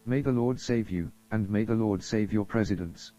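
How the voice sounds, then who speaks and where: The audio is muffled and lo-fi, carrying a man's very dark, mellow voice. He reads scripted emergency announcements in a formal, solemn manner, like a broadcast announcer addressing the public. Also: lowish voice, normal speed. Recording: dull lo-fi audio.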